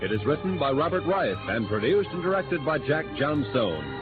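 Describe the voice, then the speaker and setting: A man's voice: a little high, very animated, normal speed, phone-quality sound. A man announcing in scripted 1950s radio style, formal, polished and projected. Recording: band-limited old radio broadcast over music.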